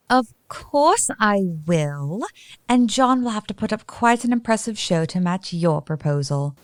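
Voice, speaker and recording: An actress playing a genteel, romantic young bride-to-be in a radio play, with gushing, proper period diction. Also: female voice, mid-range voice, sing-song, unhurried, no room sound, studio quality. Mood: delighted.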